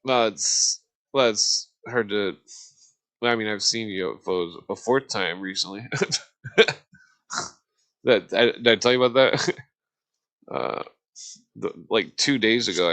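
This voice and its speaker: Male American podcast talker, slightly deep, loose conversational delivery that drifts and stalls mid-thought. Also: a bit bright. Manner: halting, trails off and digresses. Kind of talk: casual rambling chat.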